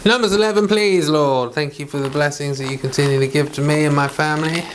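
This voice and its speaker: A medium-pitched voice hosting a homely devotional read-along. The delivery moves between formal scripture reading and informal, chatty talk, as someone reading aloud for themselves and for listeners. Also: fast talker, slight background noise. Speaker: host reading the Bible aloud.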